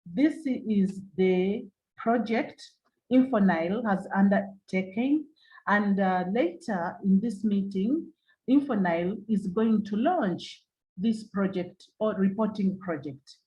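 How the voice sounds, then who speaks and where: A woman from Uganda with a Ugandan English accent, giving formal opening remarks as a webinar moderator over a video call, halting and repeating her points. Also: medium pitch, mellow, poor audio.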